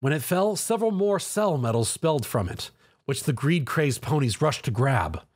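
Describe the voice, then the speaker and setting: A man's voice: on the high side, sing-song, moderate pace, breathy edge. A man reading scripted narration of an action story.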